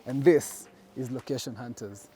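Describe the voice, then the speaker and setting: A young man with a Kenyan accent hosting his own show as a DJ, talking in energetic, slangy hype. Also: mid-range voice, normal speed. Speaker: DJ and show host. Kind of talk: hype vlog presenting. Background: music playing.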